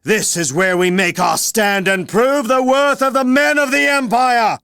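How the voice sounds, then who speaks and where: An actor playing an earnest, resolute young army commander in a fantasy game, speaking in a solemn, declamatory way. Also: male voice, very high-pitched, a little slow, creaky, bright, forceful tone, smooth, no echo, studio quality, full character voice. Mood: rousing, defiant. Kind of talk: heroic fantasy game cutscene acting.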